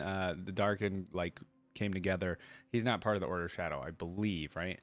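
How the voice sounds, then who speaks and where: A man's voice: lowish voice, no room sound. A man hosting a casual reaction video, reading aloud and commenting informally as he thinks things through.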